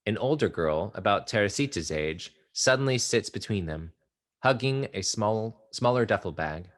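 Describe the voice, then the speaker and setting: A man narrating the stage directions of a play at a table read, in a steady, measured reading. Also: fairly low pitch.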